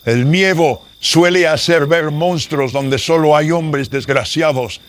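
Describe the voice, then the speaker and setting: Man acting a solemn secret-burdened man, slightly high-pitched. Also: slow. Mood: grave, confiding. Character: man with a painful secret, reassuring a frightened priest. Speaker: radio drama voice performer. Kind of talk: Spanish-language horror radio drama acting.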